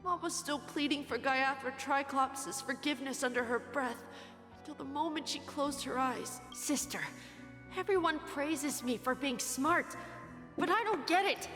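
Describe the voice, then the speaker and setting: A woman's voice: sits high, a bit bright, big-room sound, faint music behind. A woman playing an earnest, grieving young child who questions faith, in a dramatic video game scene. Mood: sorrowful, questioning. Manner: earnest, solemn, measured.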